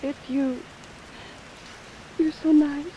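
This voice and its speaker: A world-weary noir dame from 1940s radio, guarded and terse with tenderness underneath. Her voice sits a bit high, on a vintage recording with faint hiss and rain. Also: level tone, extremely slow, mellow, low-quality recording. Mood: tender, resigned. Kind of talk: 1940s radio drama acting.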